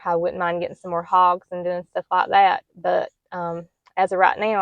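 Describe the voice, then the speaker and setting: A soft-spoken middle-aged woman with a drawl chats to the camera about her plans. Her voice sits low and stays level, with little rise or fall. The sound is close and dry, with no room echo. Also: highs cut off. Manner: soft-spoken, plain and hesitant, many ums. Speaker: homestead vlogger. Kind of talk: casual talk to camera.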